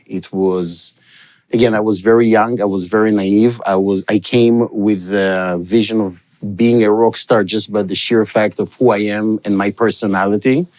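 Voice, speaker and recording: A man's voice: lowish voice, a little mellow. A middle-aged man from Israel, raised in Africa, talking about his career as a guest in an interview, reflective and rambling, with frequent restarts. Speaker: guest, sales expert. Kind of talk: storytelling interview answers.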